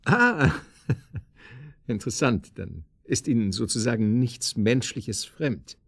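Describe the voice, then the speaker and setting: A man's voice: medium pitch, animated, slow, fairly bright tone, breathy edge, dry recording, clean studio sound, exaggerated voice. An actor playing an eager, flirtatious man courting a blind date in a radio drama, courteous and a little hesitant. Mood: amused, probing. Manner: hesitant, chuckling, courteous, coaxing. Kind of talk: naturalistic radio drama acting, phone conversation.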